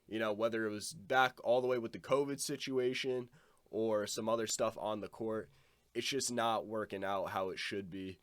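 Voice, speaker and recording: A man's voice: fairly low pitch, fairly even intonation, normal speed, clear, resonant tone, dry recording. A young man with a stuffed-up nose giving a casual, blunt basketball opinion monologue, swearing now and then. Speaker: basketball commentator.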